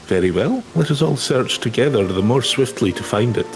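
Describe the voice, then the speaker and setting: A man's voice: medium pitch, lively intonation, normal speed, soft background music, close to an everyday voice, low-quality recording. Man voicing a courtly, obliging minstrel. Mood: gracious. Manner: flowery, gracious. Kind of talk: video game dialogue read aloud in character.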